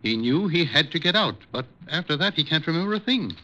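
An actor playing an earnest, cautious doctor in a 1950s radio drama, with a hesitant, concerned manner. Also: male voice, on the high side, sing-song, fairly quick, sharp tone, muffled recording. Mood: measured.

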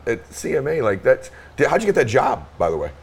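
A man's voice: medium pitch, sing-song, quick pace, vocal fry, slightly rough. A man hosting an interview, reacting eagerly and enthusiastically to his guest. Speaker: interview host. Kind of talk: casual interview chat.

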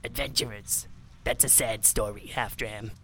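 A cruel reptilian kobold bully hisses out insults and threats, as a man reads him in a storybook. The voice has a bright, sharp edge and sits a little high and thin, with a faint hiss behind it. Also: moderate pace. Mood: mocking. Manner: hissing, insulting, menacing. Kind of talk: audiobook character voice.

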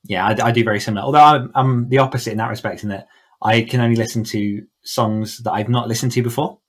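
A man's voice: medium pitch, fairly even intonation, quick pace. A man chatting casually as a podcast guest, explaining his thoughts in a reflective way. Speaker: podcast guest, author coach. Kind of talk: casual interview chat.

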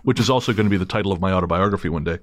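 A man's voice: low voice, very fast, no echo, studio quality. A man hosting a podcast, chatting casually and cracking crude jokes between stories. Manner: joking, crude humor with easy laughter. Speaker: podcast host.

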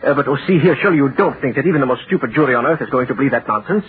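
An actor playing an exasperated, skeptical defence attorney in a 1930s radio drama, impatient and hectoring. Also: male voice, mid-range voice, animated, very fast, close to an everyday voice. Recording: noisy, tinny 1930s radio broadcast.

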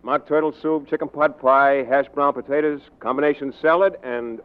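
An actor playing a pushy, callous newspaper reporter in a 1940s radio drama. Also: male voice, fairly high pitch, steady tone, moderate pace, smooth, lightly acted. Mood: businesslike. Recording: tinny, narrow-band 1940s radio recording.

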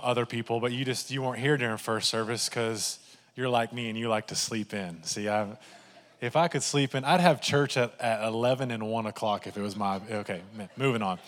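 A man's voice: fairly low pitch, brisk, fairly bright tone. A man with a Texan accent, a campus pastor, giving a casual, joking welcome from the stage. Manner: joking, chatty, upbeat. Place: church sanctuary. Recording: church hall reverb.

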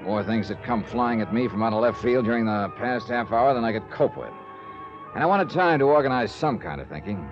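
An actor playing a wry insurance investigator narrating in the first person in a 1950s radio drama. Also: male voice, medium pitch, lively intonation, fairly quick, lightly acted. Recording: dull lo-fi 1950s radio with music.

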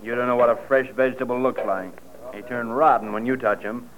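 An actor playing a gruff, wisecracking restaurant owner in a radio play. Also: male voice, medium pitch, steady tone, a little fast, lightly acted. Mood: scornful. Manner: blunt, wisecracking and bossy. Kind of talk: 1940s radio acting. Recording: tinny old radio broadcast with faint hiss.

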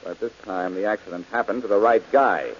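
An actor playing a wisecracking tough detective, dry and sardonic, in 1940s radio acting. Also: male voice, fairly low pitch, fairly quick, lightly acted. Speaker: detective hero. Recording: dull old radio recording with hiss.

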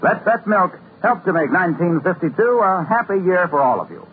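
A man's voice: high voice, very animated, fairly quick. An American man working as a radio announcer, reading scripted copy in a polished 1950s broadcast style. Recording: tinny old radio, faint noise.

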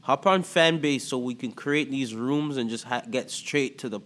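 A man with a Toronto accent hosting a podcast as a coach, talking loosely with lots of fillers and pauses. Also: medium pitch, fairly bright tone. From Antigua and Barbuda. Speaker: podcast host and life coach. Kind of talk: casual podcast talk.

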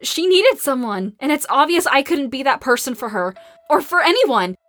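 An actress playing a hardened, grieving and self-blaming survivor in a post-apocalyptic audio drama, raw and emotional. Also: female voice, high-pitched, very animated, normal speed, fairly bright tone, no room sound. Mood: anguished, bitter. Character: grief-stricken, hardened female survivor, self-blaming and guarded.

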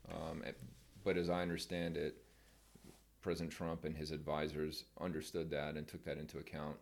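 Middle-aged veteran guest, very low voice, measured and firm. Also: male voice, completely flat delivery, vocal fry. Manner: measured, plain-spoken, firm opinions. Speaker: podcast guest, military veteran. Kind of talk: casual interview chat.

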